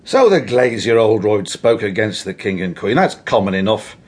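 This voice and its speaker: An actor playing an overbearing, impatient Tudor official with a husky voice and a brusque, contemptuous manner, in a radio drama. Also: male voice, on the low side, lively intonation, moderate pace, very noisy, lightly acted. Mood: brusque, commanding. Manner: brusque, commanding. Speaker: senior official. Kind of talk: full-cast radio drama.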